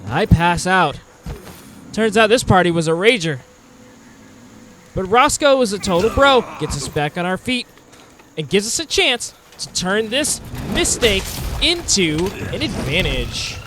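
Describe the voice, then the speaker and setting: A man's voice: high voice, very animated, measured pace, long pauses, noisy background. A man narrating a video game hunt as a dramatic, roleplayed story with playful, wry asides, like a scripted storyteller. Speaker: gameplay narrator. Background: music.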